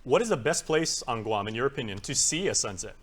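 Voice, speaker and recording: A man's voice: medium pitch, lively intonation, fast, a bit bright. A man hosting a live TV talk show in an upbeat, chatty way. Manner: upbeat, chatty, lots of fillers. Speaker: TV show host.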